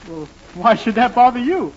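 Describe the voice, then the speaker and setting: A man's voice: very high-pitched, sing-song, moderate pace. A man playing an eager, polite, hapless young man in love in a 1940s radio sitcom. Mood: eager, puzzled. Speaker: comedian, star of the show. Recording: muffled, noisy 1940s radio, studio audience.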